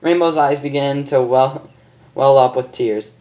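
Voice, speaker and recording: A man's voice: mid-range voice, fairly even intonation, unhurried, mellow. A man narrating a story as an amateur reader, often stumbling and repeating words. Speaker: fan fiction reader.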